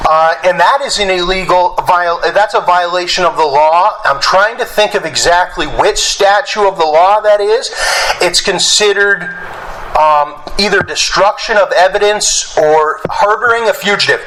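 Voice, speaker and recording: A young man making a phone complaint in a halting, rambling, insistent way. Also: sits high. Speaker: caller recording a phone complaint to police dispatch. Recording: clearly noisy phone call recording.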